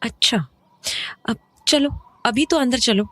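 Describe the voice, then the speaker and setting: A woman's voice: a little high, takes it slowly, a bit bright, dry recording. An actress playing an uneasy, questioning mother and wife in an audio horror drama, mixing some English into her talk. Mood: puzzled. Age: adult. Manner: questioning, conversational, Hindi mixed with English.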